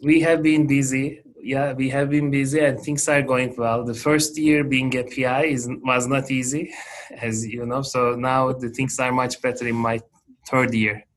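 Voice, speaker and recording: A man's voice: mid-range voice, flat delivery, measured pace, slight background noise. A man in his forties with a Turkish accent, a guest researcher answering interview questions earnestly and methodically, with small restarts. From Turkey.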